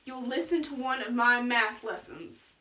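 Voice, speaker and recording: A woman acts out a brisk, impatient wizard in a puppet show. The voice is high and bright, bossy and instructive. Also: lively intonation. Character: bossy, bargaining math wizard who teaches.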